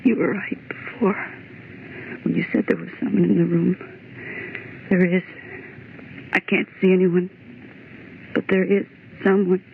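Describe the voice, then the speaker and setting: A woman's voice: on the low side, little pitch movement, measured pace, creaky, pauses often, narrow, tinny audio. An actress playing a frightened woman office worker who urgently pleads in an old-time radio horror drama. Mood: grim dread. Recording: noisy, band-limited old radio recording.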